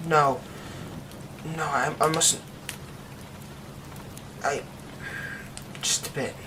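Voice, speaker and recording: A man voicing a secretive young man who hides a werewolf curse, in an ASMR roleplay drama. He speaks in a hushed voice that often drops to a whisper. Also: fairly high pitch, very slow. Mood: tense, defensive. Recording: steady rain noise behind the voice.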